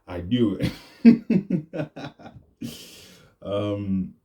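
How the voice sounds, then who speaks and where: A young man talks to camera in a loose, rambling way. His voice sits very low and sounds dark and mellow, pushed deep on purpose. The delivery stays laid-back and unhurried. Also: big pitch swings, dull audio. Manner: deliberately deepened, laid-back voice. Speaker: vlogger. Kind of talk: rambling casual monologue.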